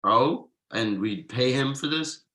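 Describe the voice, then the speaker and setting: A man in a script table read playing a defensive, evasive husband and father, conversational and a little halting. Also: mid-range voice, bright, forceful tone. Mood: resigned. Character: defensive, evasive middle-class husband and father writing ad copy.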